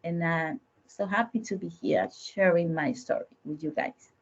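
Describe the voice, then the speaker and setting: A woman with a Dominican Spanish accent, a native Spanish speaker and small business owner, speaking halting, simple English at a roundtable. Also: on the low side. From Dominican Republic. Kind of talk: roundtable remarks. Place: home, on a video call. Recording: muffled video call audio.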